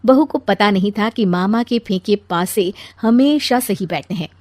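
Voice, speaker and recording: A woman's voice: mid-range voice, unhurried, no room sound. A woman narrating a scripted story as a storyteller.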